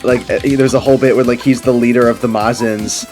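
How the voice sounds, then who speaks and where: A young man hosts casual game commentary with friends. His voice sits at a middling pitch and he talks in rambling, enthusiastic fan fashion. Music plays softly behind him. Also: steady tone, fast talker. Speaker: gaming video host. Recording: background music under the voice.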